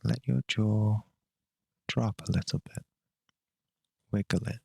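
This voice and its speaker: A man leading a guided meditation in a calm, measured, unhurried way with long pauses between phrases. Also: lowish voice, creaky, slightly rough, no room sound.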